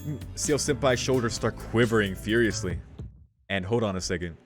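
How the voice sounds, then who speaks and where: A man's voice: lowish voice, normal speed. Man, game streamer, casual slangy delivery, reading story text aloud, music in the background. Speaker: streamer doing a visual novel playthrough. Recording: music behind the voice.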